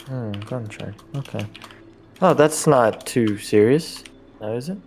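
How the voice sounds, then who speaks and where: Guy playing a tabletop rpg, mid pitch, kinda halting, bit of background noise. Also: darkish tone. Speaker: tabletop game player. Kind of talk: casual in-character role-play.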